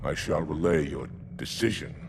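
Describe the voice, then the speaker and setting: An actor playing a proud, battle-hardened alien warrior commander in a video game cutscene, formal and forceful. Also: male voice, a bass voice, expressive, normal speed, gravelly, noisy background, exaggerated voice. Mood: restrained, formal. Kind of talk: cinematic video game acting. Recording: loud music behind the voice.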